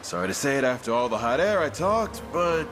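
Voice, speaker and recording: An actor playing a roguish, loyal ex-bandit in a video game, with slangy, irreverent, wry delivery. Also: male voice, mid-range voice, very animated, fairly quick, close to an everyday voice. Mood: rueful, candid. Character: roguish ex-bandit mercenary man, cocky, loyal, plain-spoken. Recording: music behind the voice.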